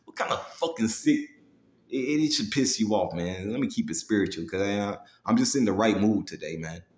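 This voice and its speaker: A man's voice: lowish voice, normal speed, reverberant. A man preaching in a confrontational, profane, slangy way, moving between scripture readings and blunt commentary peppered with casual tags. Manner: slangy, with frequent "man" and "you know" tags. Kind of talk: fiery street-style sermon with scripture read aloud.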